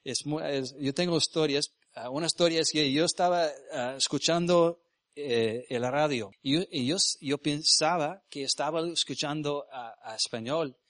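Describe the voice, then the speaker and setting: A man born in Venezuela who grew up speaking English, a missionary preaching in Spanish with an American English accent, often halting to search for words and asking the congregation questions. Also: on the high side, a bit bright, no echo, studio quality. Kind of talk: sermon with personal stories. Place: church.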